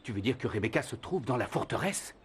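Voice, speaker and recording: Man as a resolute cartoon knight, very animated. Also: mid-range voice, fast, noisy background, lightly acted. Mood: stern, alarmed. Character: brave Saxon knight hero, resolute commanding leader. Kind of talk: French-language cartoon dubbing.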